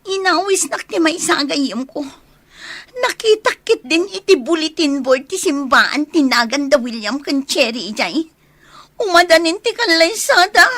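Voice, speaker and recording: Woman acting high-voiced spiteful young schemer. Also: animated, measured pace, a bit bright, no room sound. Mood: sulky, scheming. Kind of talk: Filipino radio-style audio drama acting.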